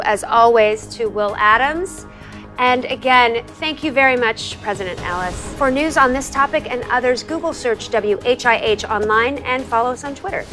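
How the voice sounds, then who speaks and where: Polished, higher-pitched female TV news anchor. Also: bright, forceful tone. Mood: brisk sign-off. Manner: formal, polished, measured delivery. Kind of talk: scripted TV news broadcast.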